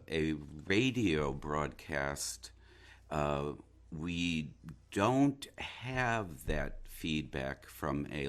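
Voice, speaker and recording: A man speaking reflectively as an interview guest, thoughtful and halting as he searches for his words. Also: extremely low pitch. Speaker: interview guest, stage actor.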